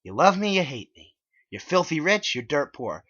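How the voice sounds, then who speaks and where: Man as an exasperated woman, accusing, curt, forceful, higher-pitched, story reading. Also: big pitch swings, moderate pace, highs cut off, exaggerated voice. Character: exasperated, scornful woman on the phone, dramatic.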